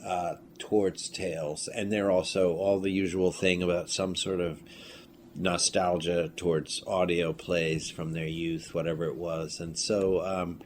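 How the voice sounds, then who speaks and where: A man with a notably low voice talks casually as an interview guest. He muses haltingly with dry humor. Faint noise of the room sits behind him. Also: little pitch movement. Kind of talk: casual conversation. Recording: noisy room with ambient sound.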